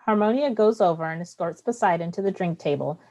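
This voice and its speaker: Lowish-voiced woman reading stage directions. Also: brisk, warm tone. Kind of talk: scripted narration of stage directions.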